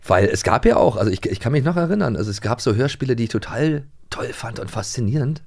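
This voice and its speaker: A middle-aged man co-hosting a podcast in casual chat, animated and fond of acting out voices when he tells stories. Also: mid-range voice, quick pace, gravelly. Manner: animated, acts out voices in anecdotes. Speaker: podcast co-host.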